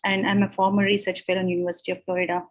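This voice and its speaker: A woman's voice: fairly low pitch, flat delivery, very fast, low-quality recording. A woman from India introducing herself as a research fellow on a video call, earnest, with many fillers.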